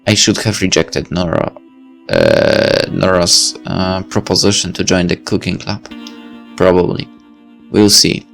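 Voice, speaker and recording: A very low-voiced man reads a visual novel aloud and stops now and then to chat about it. His reading is relaxed and casual, with the odd slip and restart. Soft music plays quietly behind him. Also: measured pace, creaky. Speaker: let's play host reading a visual novel. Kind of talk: reading game text aloud with commentary.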